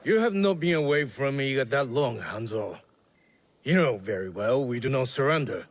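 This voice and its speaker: A man's voice: mid-range voice, animated, normal speed, close to an everyday voice. An actor playing a proud, unyielding shinobi clansman in a dramatic video game. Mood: proud, reproving.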